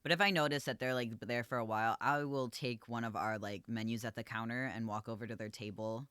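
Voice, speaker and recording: A man who manages a coffee shop, talking casually and calmly, with a voice that projects and lots of "like" and "um.". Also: medium pitch, fairly quick, clean tone, no room sound, studio quality. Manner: calm, easygoing, filler-heavy with lots of "like" and "um". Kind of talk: casual podcast chat.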